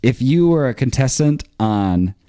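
A man's voice: mid-range voice, animated, a little slow, mellow. Playful teasing male podcast host. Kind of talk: improv comedy podcast banter.

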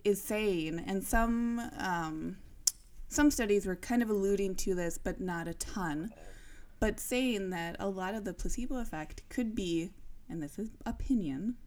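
A woman's voice: mid-range voice, measured pace, darkish tone. A young adult woman co-hosting a podcast, explaining ideas in a casual, chatty way with lots of fillers and restarts. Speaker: podcast co-host. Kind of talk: casual chat.

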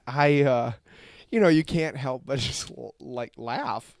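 A man's voice: medium pitch, takes it slowly, gravelly, dry recording, studio quality. A man who is a guest telling a family story in casual chat, laughing as he talks. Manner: storytelling, laughs while talking. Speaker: guest, business owner.

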